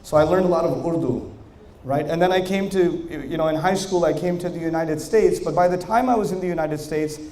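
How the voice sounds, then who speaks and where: A man's voice: fairly high pitch, brisk, a little mellow. A man giving a religious lecture to an audience, telling his own story in a conversational way, with rhetorical questions and rising emphasis. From Pakistani family, raised in Germany, Saudi Arabia and New York. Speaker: Islamic lecturer. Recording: echoey hall.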